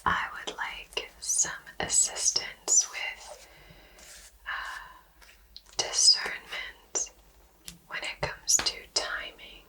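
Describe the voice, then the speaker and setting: Female ASMR whisperer, bright-edged and appreciative, slightly off the mic. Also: very slow, long pauses, distant mic, poor audio. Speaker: host receiving a tarot reading.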